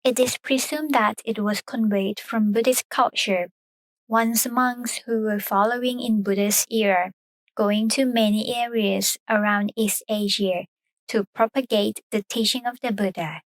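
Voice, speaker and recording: Woman with a high, dry voice. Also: steady tone, dry recording, rough recording. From Thailand. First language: Thai. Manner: careful, measured explaining in second-language English. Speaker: podcast co-host. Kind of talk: explanatory cultural talk.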